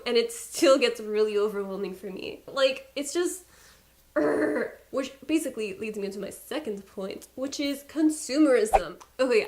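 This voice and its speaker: A young woman with a Persian accent, a beauty YouTuber talking casually to camera, chatty and exasperated with playful asides. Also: a little high.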